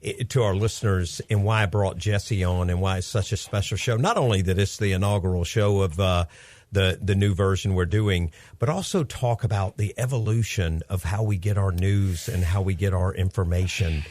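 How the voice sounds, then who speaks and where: A man's voice: low-pitched, normal speed, darkish tone, no room sound. A man in his fifties hosting a radio show, talking in an upbeat, chatty, rambling way with his young son as guest. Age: 50s.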